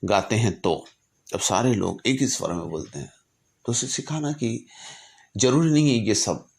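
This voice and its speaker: A man with a fairly low voice speaks as a storyteller imparting lessons. His delivery is measured and instructive, with deliberate pauses between phrases, in a reflective recitation style. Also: gravelly. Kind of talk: reflective storytelling, reciting a letter from memory with asides.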